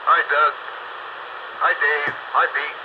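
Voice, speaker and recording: A man with a halting, plain way of talking, chatting casually over amateur radio. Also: fairly high pitch, little pitch movement. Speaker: amateur radio operator on a group net. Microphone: two-way radio microphone. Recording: muffled, noisy amateur radio transmission.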